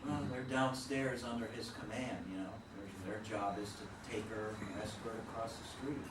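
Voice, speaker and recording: A man in a group discussion after a play reading, speaking haltingly and restarting his words. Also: on the low side, steady tone, heavy background noise. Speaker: audience member giving feedback. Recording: echoey room, from far off.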